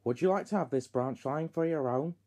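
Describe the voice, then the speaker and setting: A man's voice: mid-range voice, very animated, moderate pace, gravelly, darkish tone. Man as a kindly, formal railway boss.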